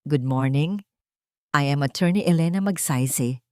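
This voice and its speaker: A woman narrator voicing an authoritative, sharp yet kind lawyer in her forties, speaking formally. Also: low-pitched, dry recording, clean studio sound, close to an everyday voice. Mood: polite, welcoming. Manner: formal, measured.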